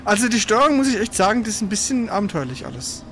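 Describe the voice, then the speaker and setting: High-pitched man gaming, noisy background. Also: sing-song, quick pace, fairly bright tone. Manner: exclamatory, swearing, grumbling. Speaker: gaming streamer playing and commenting. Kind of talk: casual live gameplay commentary with a co-player.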